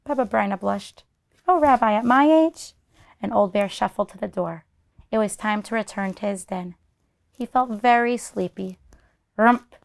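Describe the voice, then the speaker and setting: A woman teacher narrating a children's story expressively, explaining it in simple asides as she goes. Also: mid-range voice. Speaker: teacher reading aloud.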